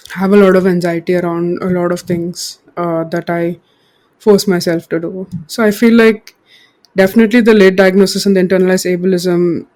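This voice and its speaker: A woman's voice: lowish voice, darkish tone. Reflective woman, hesitant and candid. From India. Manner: reflective, hesitant, many fillers and restarts. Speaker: guest author. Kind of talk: podcast interview.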